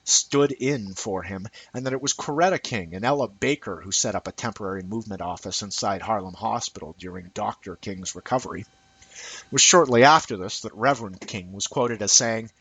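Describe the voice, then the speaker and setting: Slightly husky man reading aloud. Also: fairly low pitch, moderate pace, fairly bright tone, dry recording, poor audio. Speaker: amateur reader of an essay. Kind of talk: scripted essay read aloud as narration.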